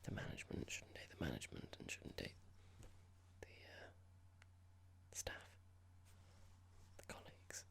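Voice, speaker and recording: An ASMR performer playing a cold, condescending boss in a roleplay, speaking softly in a measured, formal, halting and passive-aggressive way. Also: close to an everyday voice. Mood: stern, condescending. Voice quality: breathy, creaky whisper. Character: cold condescending boss about to fire an employee. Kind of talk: ASMR roleplay, whispered and soft-spoken.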